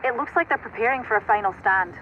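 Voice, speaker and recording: An actress playing an efficient military operations officer, giving precise, businesslike briefings in a video game. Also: female voice, on the high side, expressive, fast talker, close to an everyday voice. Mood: focused, formal. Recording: dull radio-like sound, noisy background.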